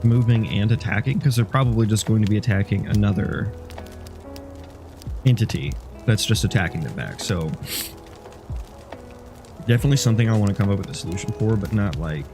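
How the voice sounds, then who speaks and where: A man's voice: lowish voice, brisk, long pauses, over music. A sniffly man, a game developer, talking casually through his code and rambling as he thinks aloud. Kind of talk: casual dev log.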